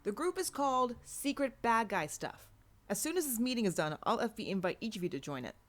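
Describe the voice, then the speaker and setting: A woman's voice: on the high side, sing-song, a little fast, pitched above the performer's own voice. A woman voicing an earnest, awkward eighteen-year-old female superhero in an audiobook, a little hesitant.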